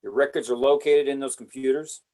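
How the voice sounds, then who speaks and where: A man's voice: medium pitch, steady tone, a little fast, dark tone, lightly acted. A man playing a principled, blunt military colonel, profane and indignant, in a script table read. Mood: angry.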